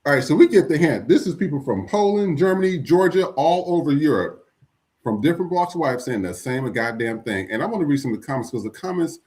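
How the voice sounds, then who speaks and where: A man's voice: fairly high pitch, animated, brisk, a little mellow. A man hosting a podcast, giving blunt, profane commentary on the news. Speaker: podcast host. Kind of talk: casual commentary.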